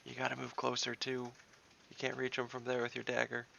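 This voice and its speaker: A man's voice: medium pitch, monotone, fairly quick, gravelly, lo-fi sound, heavy background noise. A man chatting casually as a tabletop game player, explaining rules. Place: indoors, online voice call.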